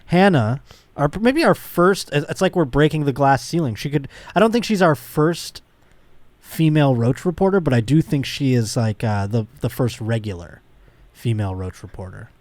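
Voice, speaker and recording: A man's voice: medium pitch, lively intonation, normal speed, darkish tone, no echo. A middle-aged man hosting a comedy podcast, chatting casually in a rambling, profane way.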